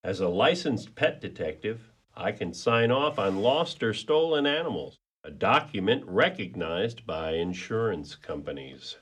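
A man playing a folksy, rueful pet detective in a comedy whodunit radio play, with halting emphasis. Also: lowish voice, big pitch swings, husky, exaggerated voice.

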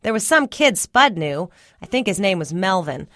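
A woman's voice: medium pitch, sing-song, normal speed, fairly bright tone, no echo, studio quality. A woman voicing a skeptical young country boy who tattles on his sibling in an audiobook. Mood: dismissive. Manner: dismissive, tattling. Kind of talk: audiobook character dialogue.

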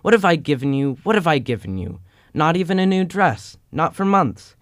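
Young man performing anguished husband, self-doubting, radio play, smooth clear voice, dry sound. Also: a little high, sing-song, normal speed, dry recording, voice pushed up. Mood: anguished, regretful.

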